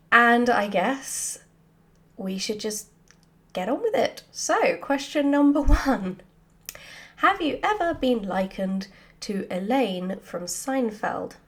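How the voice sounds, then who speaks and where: A woman chatting casually and answering questions in an animated, giggly, self-deprecating way, as a writer hosting a Q&A. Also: fairly high pitch.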